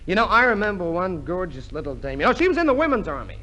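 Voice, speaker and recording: Wisecracking reluctant recruit, high animated voice, exclamatory, 1950s radio comedy, noisy recording. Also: male voice, quick pace. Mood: brash, teasing. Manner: exclamatory, mock-incredulous joke delivery. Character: Johnny, brash wisecracking reluctant army recruit.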